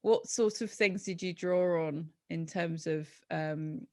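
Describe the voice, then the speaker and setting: A woman's voice: on the low side, slow pace. A woman hosting a casual interview, encouraging her guest with loosely phrased questions. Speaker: interviewer, podcast host.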